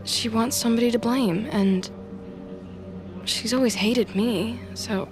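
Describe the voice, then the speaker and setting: A woman's voice: fairly high pitch, steady tone, unhurried, over music, natural voice. An actress playing an anxious, evasive female office worker who hesitates and stammers defensively in an audio drama. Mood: pleading, subdued. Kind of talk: naturalistic audio drama acting.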